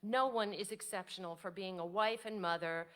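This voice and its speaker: An actress playing a modest, wistful, devoted widow in a staged reading of a period drama, with genteel, articulate phrasing. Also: female voice, medium pitch, moderate pace, fairly bright tone, lightly acted. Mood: self-deprecating. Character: elderly devoted widow writer, modest, wistful, self-doubting.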